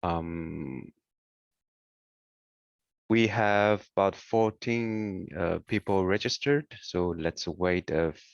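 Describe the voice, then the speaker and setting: A man who speaks English as a second language, hosting an informal online tutorial in a careful, halting way. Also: deep voice, vocal fry. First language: not English. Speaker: webinar host and software trainer. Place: indoor room, online webinar. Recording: muffled, roomy online call audio.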